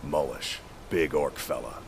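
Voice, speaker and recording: Very deep, curt mine worker. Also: male voice, unhurried, noisy background. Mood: curt, wary. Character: curt, weary male fantasy mine worker. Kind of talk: video game character dialogue. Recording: loud music over the voice.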